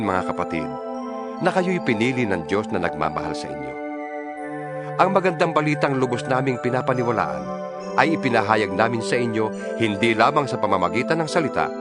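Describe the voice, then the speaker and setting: A man with a Filipino accent giving a solemn, earnest dramatized reading of scripture as a scripted narrator. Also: mid-range voice.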